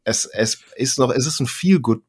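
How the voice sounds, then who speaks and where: A man's voice: medium pitch, moderate pace, husky. Male podcast guest, casual interview chat, rambling delivery with self-interruptions and emphatic repetition, mid-range voice. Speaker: podcast guest, poetry slammer and author.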